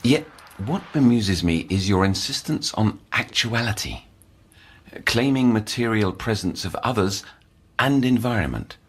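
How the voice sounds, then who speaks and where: Very deep, husky counsellor. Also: male voice, expressive, full character voice. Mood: clinical. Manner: measured, clinical, formal. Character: grave, analytical adult male psychiatric counsellor. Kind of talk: audio drama acting.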